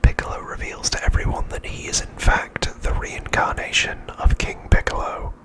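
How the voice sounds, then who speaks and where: A man narrating a scripted recap for ASMR in a breathy, unvoiced whisper, with a hushed, measured delivery. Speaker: ASMR host.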